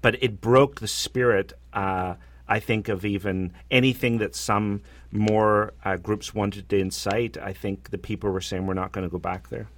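Low-voiced earnest male co-host. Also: steady tone, normal speed. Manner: earnest, thoughtful commentary. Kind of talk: casual radio conversation.

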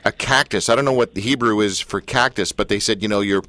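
A man's voice: lowish voice, fast, pushed and bright, no echo. A man hosting a radio talk show and interviewing a guest in a joking, teasing way. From Canada.

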